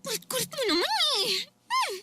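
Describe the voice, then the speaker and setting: An actress playing a sullen, irritable younger sister in a radio drama, whiny, stubborn and defiant. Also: female voice, extremely high pitch, wildly swooping pitch, a little fast, heavy background noise, exaggerated voice. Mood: irritated, sulky. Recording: music behind the voice.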